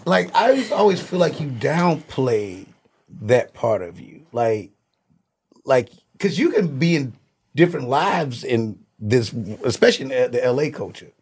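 Husky-voiced guy hosting a chill podcast, slangy, laughs a lot. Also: mid-range voice, sing-song, unhurried, darkish tone. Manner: loose, slangy, laughs often. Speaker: podcast host. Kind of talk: casual chat.

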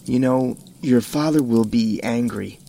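A man's voice: mid-range voice, unhurried, vocal fry, warm tone, a little hiss or hum. A man playing a wry, gloomy companion who gives cautions in an audio drama, drawling and sardonic. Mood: wry, ominous.

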